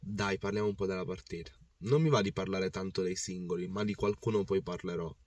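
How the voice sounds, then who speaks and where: A hoarse man in his thirties talks through a football match from his parked car, his voice low and a little rough. He rambles with passion and circles back over his own words, repeating points as he goes. The camera mic on the dashboard sits a little way from him, so he sounds slightly distant. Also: steady tone, fairly quick, a little mellow, no room sound. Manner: passionate, rambling with restarts and repetitions. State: hoarse, voice worn out. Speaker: football fan vlogger. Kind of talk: casual match recap to camera. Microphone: phone or camera on the dashboard.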